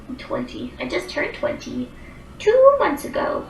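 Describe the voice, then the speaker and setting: A woman's voice: high voice, wildly swooping pitch, unhurried, gravelly, roomy sound, recorded from a distance, a little hiss or hum, poor audio. A woman in her twenties chatting casually on a livestream, rambling with lots of fillers as she answers viewer comments. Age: 20s.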